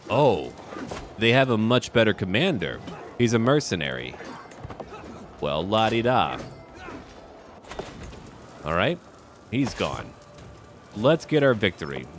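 Low-pitched man narrating a strategy game. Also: background noise. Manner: talks to himself and game characters. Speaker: gameplay video host. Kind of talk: casual playthrough commentary on a strategy game. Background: music.

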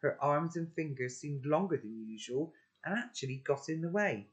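An older woman reading a children's novel aloud into a laptop webcam at home, then musing gently with rhetorical questions. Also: deep voice, expressive, moderate pace, noisy background. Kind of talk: read-aloud storytelling with chat. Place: home room, indoors.

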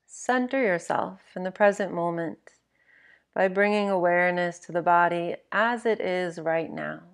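A woman leads a guided bedtime meditation at a very slow pace. Her voice sits a little low, and she gives each instruction gently and with measured care. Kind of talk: guided sleep meditation narration.

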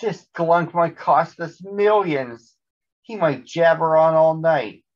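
A man's voice: on the high side, takes it slowly, lo-fi sound, pitched above the performer's own voice. A man voicing a frantic, worried little sister, crying out in protest in a storybook. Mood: panicked.

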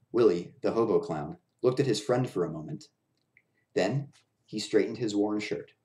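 A man's voice: on the low side, warm tone. A man in his thirties reading a children's story aloud in a small room at home. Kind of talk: children's picture-book read-aloud. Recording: roomy echo of a bare room.

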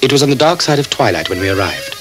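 An actor playing a polite, businesslike gentleman traveler in a radio drama, speaking in a formal, measured way. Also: medium pitch, very animated, faint music behind. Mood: measured narration. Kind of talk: radio drama acting with first-person narration. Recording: hissy 1979 cassette tape recording.

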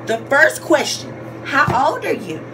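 High-voiced young Southern woman vlogging. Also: very animated, a little slow, background noise. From Georgia, United States. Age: 20s. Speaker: YouTube vlogger. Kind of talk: casual question-and-answer vlog.

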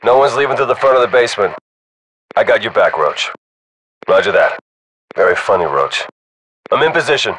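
A man playing a tense, tough special forces squadmate in a game, with clipped, forceful callouts. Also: medium pitch, lively intonation, moderate pace, long pauses, slightly rough, low-quality recording. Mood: focused. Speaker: soldier in squad. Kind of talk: military game combat callouts.

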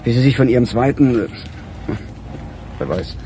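An actor playing a weary middle-aged police chief in a radio crime drama, with a dry, musing, sardonic manner. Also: male voice, mid-range voice, lively intonation, normal speed, dark tone, a little hiss or hum, close to an everyday voice. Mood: reflective.

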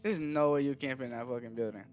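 A man's voice: medium pitch, fast talker, over music. A young man making short reactive remarks while playing a shooter with a friend. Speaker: gamer. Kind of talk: casual gaming chat.

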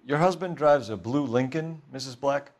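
A man's voice: medium pitch, moderate pace, slight background noise, lightly acted. Firm, formal police detective, acted by a man. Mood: polite, businesslike. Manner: formal, clipped, businesslike. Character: composed, firm 1940s police detective questioning witnesses. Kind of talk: scripted dramatic video game acting.

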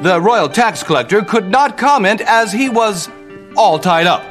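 A man, playing a pompous medieval news anchor in a theatrical, deadpan way. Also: sits high, a little slow, soft background music. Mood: arch, deadpan. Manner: theatrical, deadpan newsreader delivery. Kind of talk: parody newscast in a video game.

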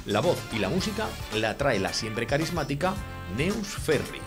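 Lively male promo announcer over music. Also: medium pitch, normal speed. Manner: energetic, lively delivery. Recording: broadcast promo with music bed.

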